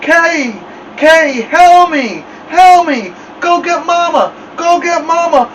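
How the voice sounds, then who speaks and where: A man's voice: very high-pitched, expressive, slow pace, fairly bright tone, distant mic, a little hiss or hum, voice pushed up, full character voice. A man voicing a panicked, crying boy in an audiobook story, mumbling as if his mouth is stuck. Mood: panicked, pleading. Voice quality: mumbling, muffled. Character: panicked boy with lips frozen to mirror, crying.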